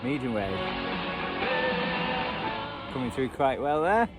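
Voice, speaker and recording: A man's voice: mid-range voice, sing-song, slow, over loud music. A man with a Northern English accent chatting casually and unscripted as a hobbyist vlogger, thinking aloud with hesitant, trailing phrases. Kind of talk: casual unscripted chat, demonstrating a radio band scan. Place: outdoors at edge of a churchyard. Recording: noisy outdoor recording with traffic ambience.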